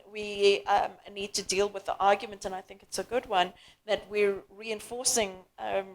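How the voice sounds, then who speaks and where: A woman from South Africa speaking on a panel in an earnest, measured way with frequent fillers and restarts. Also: mid-range voice, rough recording. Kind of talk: panel discussion.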